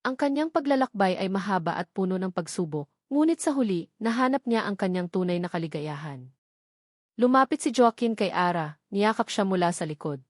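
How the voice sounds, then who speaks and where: A woman's voice: mid-range voice, animated, brisk, no room sound, studio quality. A woman with a Filipino accent reading a scripted romance story as its narrator.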